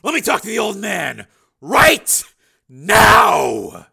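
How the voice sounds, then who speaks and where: A man voicing a hard, angry cyborg cop who barks urgent, forceful orders in an audiobook thriller. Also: high-pitched, huge pitch swings, takes it slowly, gravelly, breathy edge, full character voice. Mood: angry, demanding.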